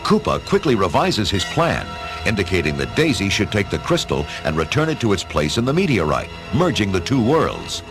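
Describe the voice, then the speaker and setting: A man narrating an audio drama from a script, with expressive, dramatic storytelling delivery. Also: on the low side, moderate pace, music in the background.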